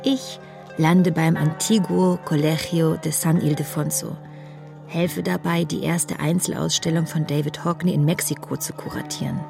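A woman's voice: low voice, fairly even intonation, normal speed, gravelly. A woman playing a nineteen-year-old from a wealthy family, narrating a radio drama with a reflective, wry manner. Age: young adult. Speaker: narrator and main character. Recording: music underneath.

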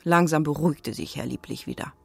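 A woman's voice: low-pitched, a little fast, creaky, darkish tone, slightly rough, dry recording, exaggerated voice. A woman narrating a scripted children's story as the storyteller of an audio drama.

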